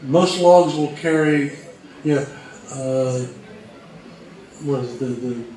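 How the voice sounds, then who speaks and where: Guy with a highish voice giving a casual talk, super echoey, off mic. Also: slow pace, dark tone. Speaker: co-presenter, old radio researcher. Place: roomy indoor presentation space. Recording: very echoey room, distant mic.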